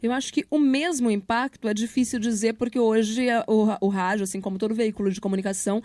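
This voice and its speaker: A woman's voice: on the high side, fairly even intonation, fast talker, a little mellow, faint music behind. A Brazilian woman giving casual interview answers, explaining things in an informal, slangy way as a project leader and teacher.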